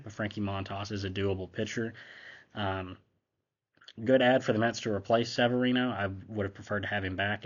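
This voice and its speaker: A man's voice: lowish voice, highs cut off. A young man with a slight cold hosting his own channel, giving an offhand, conversational sports recap as he reads down a list. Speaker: host. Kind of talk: casual sports recap commentary.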